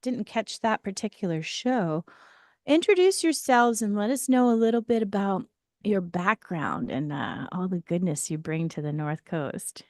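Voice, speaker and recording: A woman hosting a casual radio interview from a studio, chatty and quick to laugh. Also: medium pitch, lively intonation, no echo. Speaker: radio show host. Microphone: studio mic.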